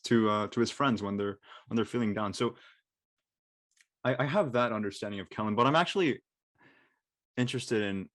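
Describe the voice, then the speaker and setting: A man's voice: fairly low pitch, fairly bright tone, no room sound, studio quality. A man, an actor on a live show, casually describing his character, doubling back and correcting himself as he goes. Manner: halting, repeats words and self-corrects.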